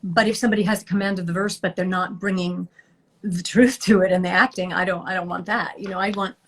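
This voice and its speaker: A woman's voice: lowish voice, fairly even intonation, fast talker. A middle-aged woman, a guest actress, chatting casually in an interview over a video call and laughing lightly between thoughts.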